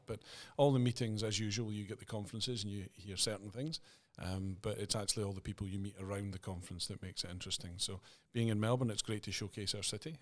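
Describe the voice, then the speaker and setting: A middle-aged Scottish man talking casually as a podcast guest, easygoing with frequent restarts. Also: on the low side. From Scotland. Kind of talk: casual interview chat.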